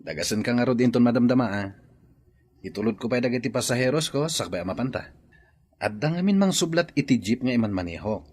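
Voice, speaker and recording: Callous driver boyfriend, mocking and very animated, Ilocano audio drama male actor. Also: mid-range voice, fast talker, natural voice. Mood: casual. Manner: dismissive, mocking. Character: callous male jeepney driver, dismissive sweetheart.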